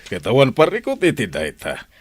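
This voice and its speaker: A man's voice: mid-range voice, huge pitch swings, normal speed, dry recording. A man playing a placating, pragmatic middle-aged family man in an Ilocano radio drama, with an Ilocano accent. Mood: reasonable, placating.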